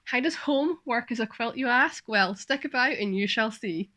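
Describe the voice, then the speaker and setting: A woman's voice: a little high, lively intonation, normal speed, sharp tone. A Scottish woman hosting a sewing vlog, chatting and narrating casually. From Scotland.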